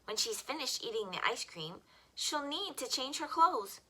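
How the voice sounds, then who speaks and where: A woman reading picture books aloud to young children as a story time host, with expressive, simple phrasing. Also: high voice, normal speed, breathy edge, low-quality recording.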